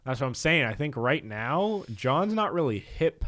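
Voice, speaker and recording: A young man co-hosting a laid-back podcast, chatting loosely and laughing easily between items on a list. His voice sits at an ordinary middle pitch. The sound is dry and close to the mic. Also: moderate pace, no room sound. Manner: relaxed, laughs often, reads out lists with asides. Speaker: podcast co-host. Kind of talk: casual chat.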